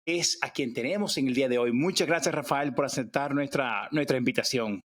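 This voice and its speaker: A man's voice: mid-range voice, a little fast. A man hosting a podcast interview, introducing a guest in an enthusiastic, effusive way. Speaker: podcast host.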